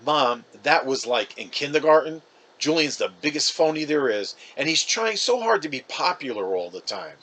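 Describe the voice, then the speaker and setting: A man's voice: fairly high pitch, big pitch swings, moderate pace, sharp tone, heavily acted. A man voicing a reluctant, argumentative schoolboy, the older brother in a storybook, exasperated and insistent.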